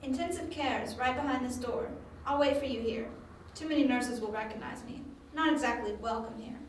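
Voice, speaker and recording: A spirited young female informant, played by a woman in a homemade radio play, high in pitch and chatty with a cheeky, grudging edge. She sounds distant from the mic, in a strongly echoing room. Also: fairly quick, close to an everyday voice. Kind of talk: amateur audio drama acting. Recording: distant, very echoey, faint room noise.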